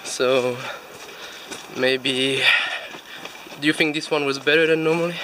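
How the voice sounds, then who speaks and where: A man, easygoing and quick to laugh as he films himself outdoors with a phone at arm's length. His voice sits a little high. Traffic and street ambience come through clearly behind him. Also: fairly even intonation. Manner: chatty, laughs often, loose non-native English. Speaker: vlog host. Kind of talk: casual restaurant review chat. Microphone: phone at arm's length selfie.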